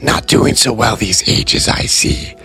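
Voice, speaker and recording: A man's voice: medium pitch, lively intonation, measured pace, vocal fry, bright, forceful tone, soft background music, pitched above the performer's own voice, heavily acted. A man voicing a sly, sarcastic male spirit trader who talks in a gleeful, mock-friendly way and sometimes drops to a whisper.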